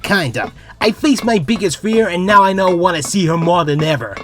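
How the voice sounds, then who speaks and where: A woman's voice: on the low side, animated, fairly quick, a bit bright, soft background music. A woman playing an anxious but earnest teenage boy space captain in a comic dub. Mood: urgent, resolute.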